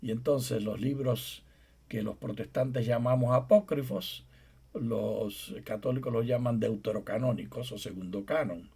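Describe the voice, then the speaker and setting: Middle-aged guy co-hosting a bible study show, husky voice, explains stuff and keeps things on track. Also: mid-range voice, unhurried, mellow. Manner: explanatory, teasing, steers the conversation back on track. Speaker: co-host, theologian and professor. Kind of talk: conversational Bible study program.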